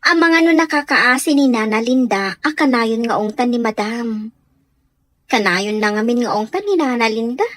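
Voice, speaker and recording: Very high-pitched shy young housemaid played by a woman. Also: expressive, normal speed, fairly bright tone, no room sound. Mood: respectful, concerned. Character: shy, respectful young housemaid on a beach outing. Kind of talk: Ilocano radio drama acting.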